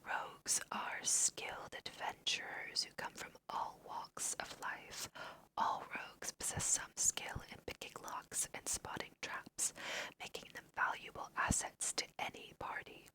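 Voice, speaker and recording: A woman whispering softly and unhurriedly in an ASMR style as she guides listeners through a video game, mixing read-aloud text with casual asides. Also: unhurried, low-quality recording. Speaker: host of a video game let's play.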